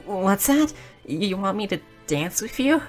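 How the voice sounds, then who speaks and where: A woman voicing a shy, nerdy, curious young goblin girl in a roleplay audio drama, speaking softly and earnestly with small stammers. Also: mid-range voice, sing-song, measured pace, faint music behind. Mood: nervous, flustered. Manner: soft, hesitant, stammering, earnest.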